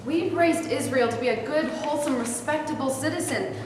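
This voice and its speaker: A woman's voice: sits high, a little fast, background noise, natural voice. A woman reading the part of an indignant, firm religious adoptive mother in a staged reading. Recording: echoey hall.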